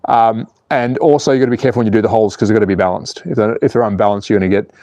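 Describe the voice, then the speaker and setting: Aussie bloke in his 40s tinkering with slot cars on a stream, cheeky and sweary, mellow voice, dead dry close sound. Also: fairly low pitch, fairly even intonation, very fast, no room sound. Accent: Australian. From Australia. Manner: cheeky, swearing, laughs at his own jokes, reads out chat. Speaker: slot car channel host. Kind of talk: casual livestream chat.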